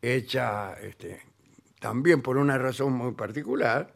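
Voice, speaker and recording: A man with an Argentine accent, reading a tourism report aloud with deadpan, sarcastic asides as the comedy host. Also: mid-range voice, takes it slowly, gravelly. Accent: Rioplatense Spanish.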